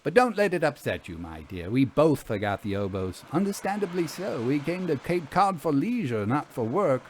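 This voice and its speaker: A man voicing an assured, brisk woman investigator in a story, with formal, articulate and inquisitive speech. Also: fairly high pitch, big pitch swings, a little mellow, a little hiss or hum, pitched above the performer's own voice. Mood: composed, reassuring. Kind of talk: audio storytelling, acted dialogue.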